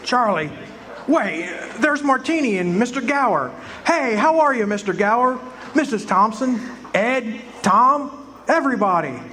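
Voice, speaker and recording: A man's voice: very high voice, unhurried. A man acting in a live community radio play, voicing an overjoyed, breathless husband and father. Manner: excited, breathless joy. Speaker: actor playing George Bailey.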